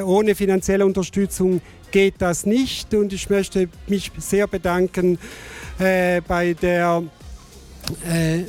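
A man, an organizer giving a formal thank-you speech at an exhibition opening, halting often to find his words. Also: sits high, darkish tone. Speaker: project organizer.

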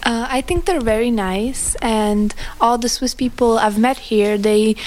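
A woman's voice: a little high, a little slow, a little mellow. A young woman whose first language is Slovak, answering interview questions in English and often starting with "uh".

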